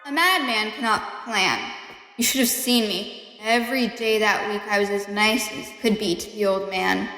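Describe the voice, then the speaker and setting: A woman's voice: mid-range voice, moderate pace, pushed and bright, faint music behind. A woman playing an unhinged killer who insists on being sane, in an intense, dramatic gothic horror audio play. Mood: falsely sweet, scheming. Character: unhinged killer insisting on their own sanity. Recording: echoey room with long reverb.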